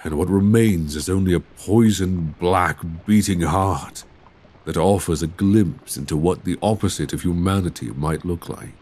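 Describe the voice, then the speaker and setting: Guy doing a tormented gentleman vampire, asmr roleplay, really deep husky voice, formal old timey talk, tender and sorry, close on the mic. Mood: brooding, confessional. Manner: formal, old-fashioned, measured phrasing. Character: tormented, courtly young male Victorian vampire, tender and remorseful. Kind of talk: ASMR roleplay audio drama acting. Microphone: close binaural microphone.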